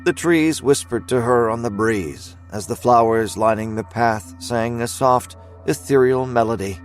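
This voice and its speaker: A man narrating scripted dramatic fiction in a measured storytelling manner. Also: mid-range voice, soft background music.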